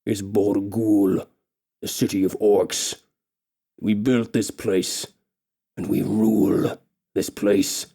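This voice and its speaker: A man voicing a huge male orc king who speaks slowly in a raw, hoarse, stern voice. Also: on the low side, flat delivery, dark tone, exaggerated voice. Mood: menacing. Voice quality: raw, hoarse, slow and menacing.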